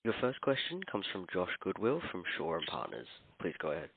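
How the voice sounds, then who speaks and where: Deep operator guy reading instructions, lively up and down, tinny phone audio. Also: brisk, creaky, slightly rough, no room sound. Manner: formal instructive delivery. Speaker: call operator. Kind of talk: scripted announcements. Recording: phone line.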